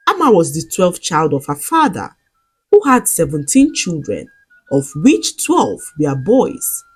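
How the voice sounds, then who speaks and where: A woman with a Nigerian accent narrating a scripted folktale in a dramatic, expressive way. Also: on the low side, slightly rough. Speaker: storyteller.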